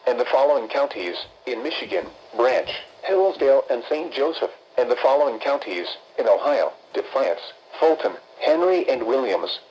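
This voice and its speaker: A synthetic male announcer reads formal emergency weather bulletins in an impersonal, measured way with clipped, list-like phrasing. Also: medium pitch, creaky, a little mellow. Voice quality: synthetic text-to-speech voice. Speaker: automated weather radio announcer. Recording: dull, noisy weather radio broadcast.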